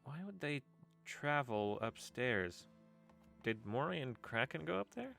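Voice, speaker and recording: A man's voice: medium pitch, big pitch swings, measured pace, creaky, fairly bright tone, soft background music, exaggerated voice. An actor playing a wry, exasperated young man in an audio drama, with a theatrical, sarcastic delivery. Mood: puzzled.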